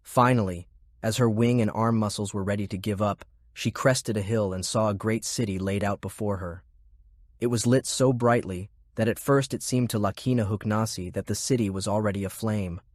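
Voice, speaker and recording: Low-voiced man narrating an audiobook. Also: fairly even intonation, normal speed, a little mellow, no room sound, clean studio sound. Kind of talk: scripted fiction narration.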